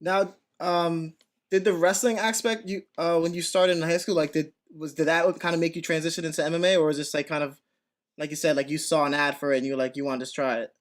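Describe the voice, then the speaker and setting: A man co-hosting a casual podcast, putting a question to his guest. His delivery is quick and nearly monotone, and he stops and restarts as he hunts for the right words. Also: a little high. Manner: halting, searching for words. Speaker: podcast co-host.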